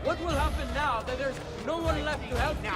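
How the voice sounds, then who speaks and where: A man's voice: extremely high pitch, brisk, fairly bright tone, distant mic, soft background music. An actor playing a smug, cruel young Italian nobleman, a video game villain with an Italian accent and a sneering, theatrical delivery. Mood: gloating. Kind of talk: video game cutscene acting.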